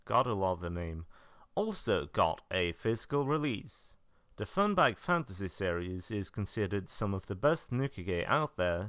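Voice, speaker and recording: Guy doing casual scripted review voiceover, clear smooth voice, pitch moves around a lot, offhand asides. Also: fairly bright tone, dry recording, studio quality. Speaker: video narrator reviewing releases. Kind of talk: informal scripted review narration.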